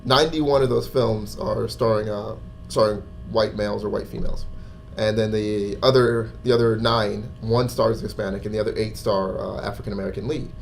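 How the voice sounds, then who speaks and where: A man's voice: on the low side, fairly even intonation, normal speed, vocal fry. A man co-hosting a casual panel discussion in an informal, conversational manner. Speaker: podcast co-host. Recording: faint room noise.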